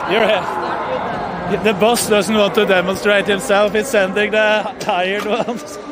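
A man's voice: high-pitched, fairly even intonation, slow pace, very noisy. A man in his thirties with a Norwegian accent, chatting and joking as he livestreams from a fitness expo. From Norway. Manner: chatty, joking, asks questions. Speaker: streamer. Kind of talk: casual livestream chat. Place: busy indoor fitness expo hall. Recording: loud crowded trade-fair hall. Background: loud crowd chatter.

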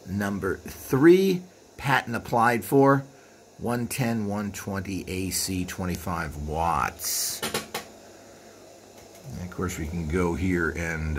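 Low-voiced man giving a rambling walkthrough. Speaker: host of a vintage equipment video channel. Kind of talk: informal hands-on product walkthrough. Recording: clear background noise, room ambience.

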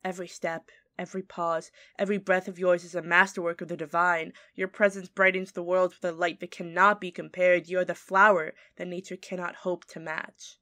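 A woman playing an adoring secret admirer, reciting a poetic love letter in measured ASMR roleplay style. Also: fairly low pitch, level tone, sharp tone, no room sound. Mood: tender, adoring.

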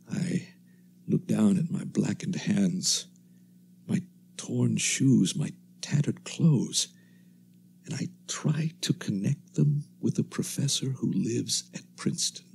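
A haunted, hushed professor, low-voiced. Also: male voice, gravelly, a little hiss or hum. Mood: lost, despairing. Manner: hushed, halting, reflective. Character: haunted, weary male professor, lone survivor. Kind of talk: dramatic first-person radio monologue.

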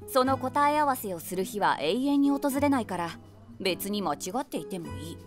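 A woman's voice: medium pitch, big pitch swings, normal speed, soft background music, natural voice. An actress playing a wry woman of forty-six, a self-deprecating eavesdropper narrating an audio drama conversationally in the first person. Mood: wry, matter-of-fact. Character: wry 46-year-old woman, eavesdropping daydreamer.

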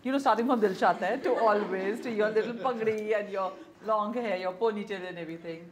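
An older woman from India with an Indian English accent, hosting an interview with brisk, direct questions. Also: mid-range voice, a little hiss or hum. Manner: brisk questions, short affirmations. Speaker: interviewer host. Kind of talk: conversational interview. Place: small warm-lit room.

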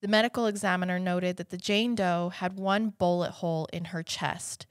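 A woman's voice: lowish voice, fairly even intonation, normal speed, no echo. A young American woman with a cold and a slightly congested voice, co-hosting a true-crime podcast in conversational storytelling style on a close studio mic. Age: young adult. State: has a cold. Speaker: podcast co-host. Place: small indoor podcast studio. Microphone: close studio mic on boom arm, headphones.

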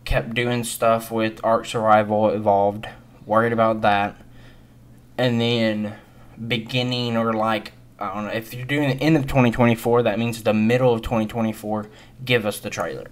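Male, early 20s, lowish pitch, casual gaming commentator, unscripted, halting and resigned delivery. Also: level tone. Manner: rambling, hesitant, resigned. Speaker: gaming video creator.

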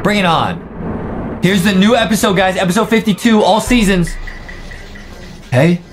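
A young man in his twenties doing excited, exclamatory reaction commentary as a video host, in short hype-filled bursts. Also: high voice, unhurried, background noise. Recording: music playing under voice. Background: music and video sound effects.

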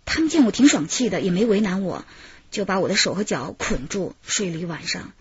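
Female crime victim, husky, lively higher pitch, radio drama. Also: brisk, no echo. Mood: recounting ordeal. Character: grateful female kidnap victim recounting her ordeal. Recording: dull lo-fi radio drama recording.

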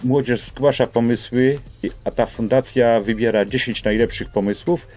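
An older man explains things as a guest in a radio interview, with halting phrasing, on a studio microphone. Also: mid-range voice, steady tone, darkish tone. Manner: halting, hesitant phrasing with repeated starts. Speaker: school representative, radio guest. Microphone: studio microphone on boom arm.

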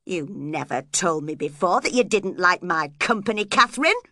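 A woman's voice: fairly low pitch, sing-song, unhurried, a bit bright, no room sound, clean studio sound. A woman voicing a resentful, jealous young man in a storybook, with a complaining, emphatic manner. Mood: hurt, reproachful.

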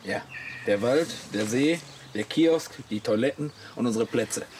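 An actor playing a stubborn, alarmist husband who bosses people around in a German radio comedy drama. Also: male voice, mid-range voice, a little slow, a little mellow, a little hiss or hum, natural voice. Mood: bossy. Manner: bossy, insistent. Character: stubborn, alarmist husband organising campsite night watch.

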